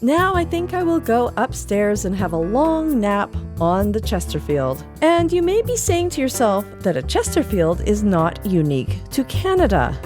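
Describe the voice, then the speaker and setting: A woman's voice: mid-range voice, sing-song, moderate pace, hardly any pauses, a little mellow, soft background music. A woman presenting a light scripted podcast segment in a wry, playful manner.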